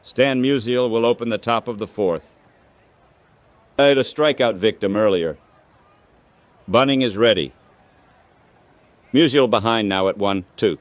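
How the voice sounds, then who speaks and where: Slow, measured baseball announcer man. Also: on the low side, no room sound. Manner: measured, clipped short phrases with pauses. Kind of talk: scripted radio baseball commentary.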